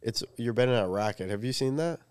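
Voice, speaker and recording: A man's voice: medium pitch, expressive, fast talker, darkish tone, clean tone, no echo, clean studio sound. A man in his twenties, co-hosting a podcast and telling stories in a laid-back way with lots of fillers. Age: 20s. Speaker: podcast co-host. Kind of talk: casual chat.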